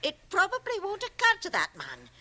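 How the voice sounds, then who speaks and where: An actress playing a capable, strict, brisk small-town mother in a radio drama. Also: extremely high pitch, huge pitch swings, sharp tone, heavily acted. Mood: concerned. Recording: slightly noisy old cassette radio drama.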